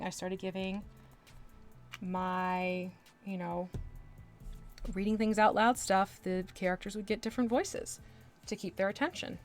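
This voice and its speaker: A talkative woman from Texas chats as she paints, wandering off into asides and laughing at herself. Her voice sits at an ordinary middle pitch. Faint music plays behind her. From Texas, USA. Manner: chatty, rambling, with asides and laughs. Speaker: livestreamer painting miniatures. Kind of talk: casual chat while working.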